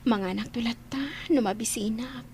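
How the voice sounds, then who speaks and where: A woman playing a despondent, stubborn young daughter in a radio drama, with a breathy delivery. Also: a little high, normal speed, darkish tone, a little hiss or hum, lightly acted. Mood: sullen, weary. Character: despondent young woman stubbornly refusing her mother's care.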